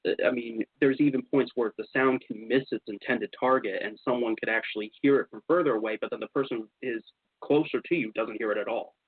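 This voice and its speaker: Husky-voiced man hosting a talk show. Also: mid-range voice, fairly quick, no echo. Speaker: radio show host. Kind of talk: casual storytelling about investigations. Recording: slightly dull, lo-fi radio sound.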